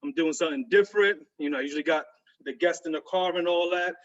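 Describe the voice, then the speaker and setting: A man's voice: on the high side, fast talker, a bit bright. A man hosting an interview over a video call, hyped and slangy, calling his guest "my brother". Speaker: interview host. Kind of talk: casual interview. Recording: dull lo-fi video call audio.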